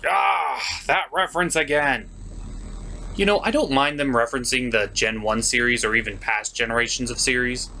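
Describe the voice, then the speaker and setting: A man's voice: mid-range voice, moderate pace, vocal fry, pushed and bright, slight background noise. A man delivering scripted comedic review commentary as a show host, emphatic and exasperated, with some swearing.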